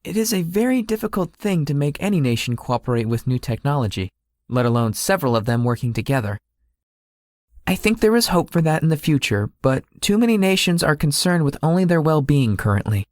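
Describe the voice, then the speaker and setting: A man playing a gracious young celebrity tech guru in a visual novel, speaking politely and formally in a measured way. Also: on the high side, very animated, darkish tone, no room sound, studio quality. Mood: thoughtful. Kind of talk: visual novel character acting.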